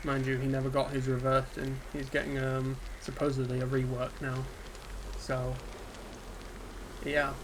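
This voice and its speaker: Dejected man, flat voice. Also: medium pitch, a little slow. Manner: subdued, dejected and hesitant, with frequent ums. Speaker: gaming video creator. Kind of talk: unscripted solo rant to camera. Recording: steady background ambience hiss.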